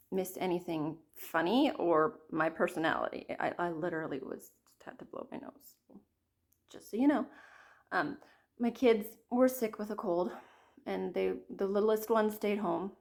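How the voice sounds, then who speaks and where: A woman in her thirties chatting casually about her cross-stitch projects, her voice a little croaky from a cold, rambling and apologizing often. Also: fairly low pitch. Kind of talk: casual chat to camera.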